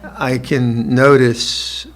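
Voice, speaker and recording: A monk in his seventies gives an evening dharma talk, speaking slowly and reflectively with gentle restarts and soft laughter. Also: medium pitch, level tone, a little hiss or hum. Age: 70s. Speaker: monk teacher. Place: retreat hall with listeners.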